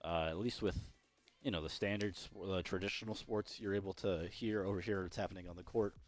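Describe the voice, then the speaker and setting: Deep-voiced man casting, voice going hoarse. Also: fairly even intonation, fairly quick, soft background music. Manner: rambling, self-correcting, chatty. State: losing his voice. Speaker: esports caster. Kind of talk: solo play-by-play commentary.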